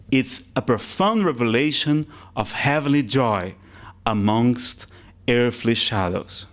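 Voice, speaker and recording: Brazilian-accented male lecturer, Portuguese native, unhurried, halting restarts, mid-pitched. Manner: slow, deliberate, with halting restarts. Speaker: spiritist lecturer. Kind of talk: public lecture. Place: spiritist center hall.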